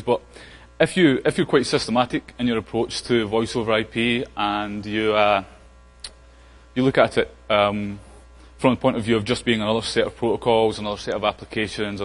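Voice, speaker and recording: A man with a Scottish accent giving a casual technical conference talk, full of fillers and restarts. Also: lowish voice. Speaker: conference speaker. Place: large conference hall. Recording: long hall reverb.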